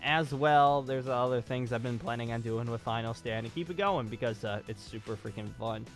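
A man's voice: medium pitch, normal speed. Casting: male gaming vlogger, twenties, mid-range voice, conversational and rambling, close-miked at a home desk over faint music. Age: 20s. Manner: rambling, conversational, repeats phrases for emphasis. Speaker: YouTube gaming channel host. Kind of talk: casual channel update vlog. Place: small home room with acoustic foam, at a desk. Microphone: studio mic on a boom arm, close.

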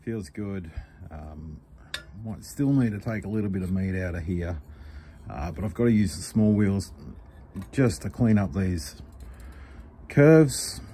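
A middle-aged Australian man, a knife maker, explaining his work step by step in a relaxed, practical way while he works at the bench. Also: sits low, a little slow, long pauses, a little hiss or hum. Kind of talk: workshop tutorial voice-over. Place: home workshop.

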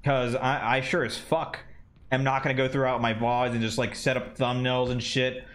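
A man's voice: medium pitch, little pitch movement, normal speed, fairly bright tone. A man in his twenties chatting casually while streaming a game, rambling and swearing with lots of fillers. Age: 20s. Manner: rambling, profane, many fillers. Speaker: gaming streamer. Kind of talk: casual chat while gaming. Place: home desk room.

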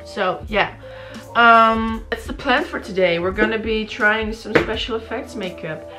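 A young woman, chatting casually to camera in her bedroom, a little sleepy and raspy, rambling with many fillers and restarts. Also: fairly high pitch, unhurried, pushed and bright, soft background music. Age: young adult. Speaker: beauty vlogger. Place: small bedroom indoors. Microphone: camera in front at close range.

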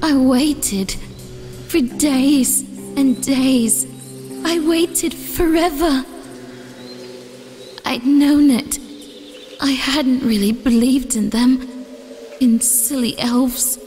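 A lonely, wistful young village girl, voiced by a woman who speaks very slowly in a high voice. Music plays behind her. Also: fairly even intonation, mellow. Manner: wistful, plaintive.

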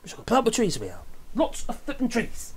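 A man's voice: very high voice, wildly swooping pitch, fairly quick, breathy edge. English guy in his 50s, chatty opinionated vlog rant, wanders off and corrects himself. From England. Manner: rambling, chatty, with tag questions and self-corrections. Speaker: vlogger commenting on news. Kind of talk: casual opinionated commentary. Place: small wooden cabin room with open window.

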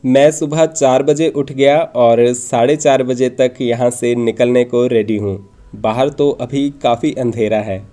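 A man's voice: mid-range voice, fairly even intonation, a little slow, warm tone. A man narrating a travel vlog from a script, explaining things in an informative, orderly way. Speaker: travel vlogger and host.

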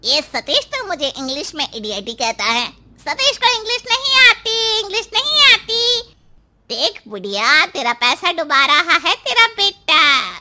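A performer voicing a cheeky, mocking pet parrot in a cartoon, with a very high, squeaky voice and taunting singsong delivery. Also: big pitch swings, measured pace, pushed and bright, full character voice. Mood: nosy, cheeky. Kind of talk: animated cartoon dialogue.

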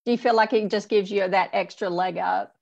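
A woman hosting an interview over a video call, asking questions in a measured, polite way. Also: mid-range voice, steady tone, creaky, a little mellow. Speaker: interview host. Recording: dull lo-fi video call audio.

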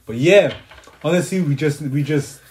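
Higher-pitched young London man, slangy reactor. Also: moderate pace, darkish tone. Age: 20s. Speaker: reaction video host. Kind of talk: casual group reaction chat. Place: small living room, indoors on a couch.

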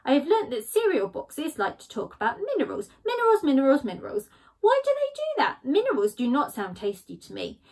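A woman's voice: high voice, wildly swooping pitch, fairly quick, darkish tone, heavily acted. A woman voicing an earnest, fussy male skunk who chatters anxiously. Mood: chatty. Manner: worried, chattering, insistent.

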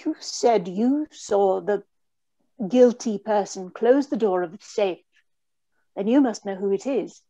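A woman's voice: mid-range voice, unhurried, mellow, highs cut off, lightly acted. A woman playing a frightened, earnest young lady in an old-time radio mystery, with a breathless, hesitant manner. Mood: anxious. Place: indoor room on a video call.

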